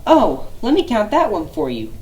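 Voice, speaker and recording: A woman voicing a cheerful, fast-talking male squirrel who hoards nuts, in a storybook reading. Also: medium pitch, wildly swooping pitch, dark tone, distant mic, voice pushed up. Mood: chirpy. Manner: rapid, chirpy and eager.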